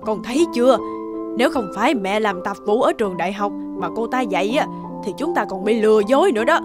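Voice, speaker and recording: A woman's voice: medium pitch, expressive, a little fast, music in the background, lightly acted. A woman playing a stern, suspicious older mother in a cartoon, speaking curtly and accusingly. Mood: angry, accusing. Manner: sharp, curt, sarcastic. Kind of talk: Vietnamese cartoon dialogue.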